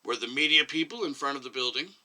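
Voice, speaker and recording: A man's voice: mid-range voice, quick pace, pushed and bright. A man voicing a stern, sarcastic commission chairman who questions pointedly and overbearingly in a short story reading. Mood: impatient, probing.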